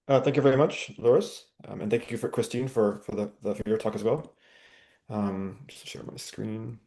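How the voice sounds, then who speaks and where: Male professor, mellow tone, measured explainer, webinar call. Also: lowish voice, fairly even intonation, vocal fry. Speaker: university professor giving a webinar talk. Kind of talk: presentation over a webinar. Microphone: video call.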